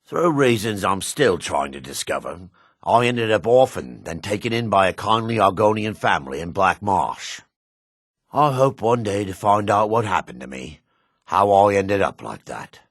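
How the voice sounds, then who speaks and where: A raspy, fairly low-voiced dark elf man from a fantasy video game. He is wary and embittered, with terse delivery that swings widely in pitch. He sounds gruff and battle-worn. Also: big pitch swings, normal speed, no room sound, clean studio sound. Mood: earnest, weary. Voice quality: raspy, gravelly.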